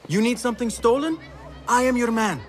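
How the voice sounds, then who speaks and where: A man's voice: very high-pitched, brisk, a faint crowd. An actor playing an ambitious, cocky young male street thief in a video game, eager and proud in manner. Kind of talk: video game drama acting.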